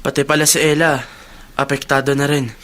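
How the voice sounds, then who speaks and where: A man's voice: a little high, flat delivery, fairly quick, over loud music, natural voice. A man acting a loyal, encouraging friend in a radio drama, speaking in an earnest, reassuring way. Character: loyal, encouraging male friend, steady and sincere.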